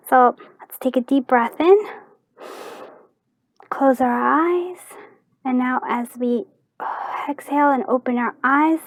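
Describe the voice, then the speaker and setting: A woman with a fairly high voice hosts an informal tarot reading. She talks to her viewers in a chatty way, thinking aloud with hesitant pauses. The sound is close and dry. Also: no room sound. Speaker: tarot card reader and channel host. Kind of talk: casual spoken pick-a-card tarot reading to viewers.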